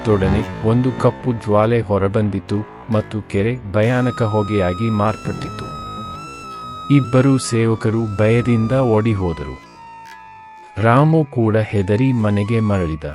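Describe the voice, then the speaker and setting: A man narrating a cartoon moral story in an even, matter-of-fact way. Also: on the low side, unhurried, music in the background.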